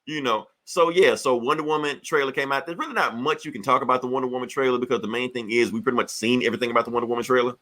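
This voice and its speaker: A man hosting a casual pop-culture podcast, chatting in a profane, joking, animated way. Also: medium pitch, rapid-fire, few pauses. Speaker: podcast host.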